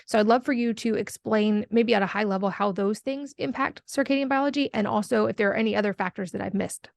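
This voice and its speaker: A woman hosts a podcast interview with easy, fluent questions. Her mid-pitched voice carries a noticeable vocal fry, and it is recorded dry and close with no room echo. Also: quick pace. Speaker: podcast host.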